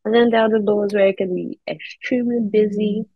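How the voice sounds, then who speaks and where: A woman's voice: mid-range voice, fairly even intonation, a little fast, no echo. A woman in her thirties with a Caribbean accent, chatting as an interview guest over a video call. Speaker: interview guest, air traffic controller and children's author. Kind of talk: conversational interview answers. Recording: slightly dull video-call audio.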